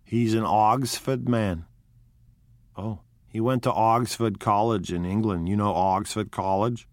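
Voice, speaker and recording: A man voicing an older male gangster, gloomy and sentimental, with broken grammar and a slow, nostalgic way of talking. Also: on the low side, no room sound. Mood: brooding. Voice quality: broken, ungrammatical speech.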